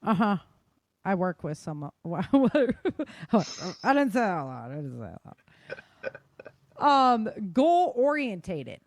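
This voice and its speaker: A woman's voice: medium pitch, big pitch swings, takes it slowly, darkish tone, dry recording. A woman hosting a casual talk podcast, explaining advice in an emphatic, colloquial way and often checking in with "right?". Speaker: podcast host.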